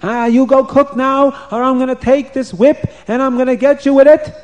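A man doing a shrill, bossy queen. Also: completely flat delivery, moderate pace, a little mellow, dull audio. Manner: shrill, screeching demands. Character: mean, bossy queen shrieking orders.

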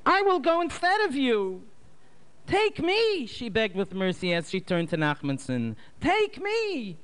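A frantic old mother wails and begs in a high, quavering voice, as a male storyteller puts her on. Her pleas swing up and down, full of fright and grief. The tone stays clear and smooth. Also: big pitch swings, measured pace, a bit bright, full character voice. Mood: wailing. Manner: wailing high cries. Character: elderly mother, frantic, pleading, grief-stricken.

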